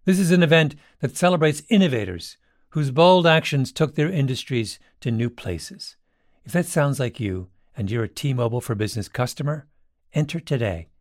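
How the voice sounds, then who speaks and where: A man's voice: medium pitch, expressive, normal speed, no room sound, studio quality. A man giving a conversational, scripted advertisement read. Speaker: advertisement reader.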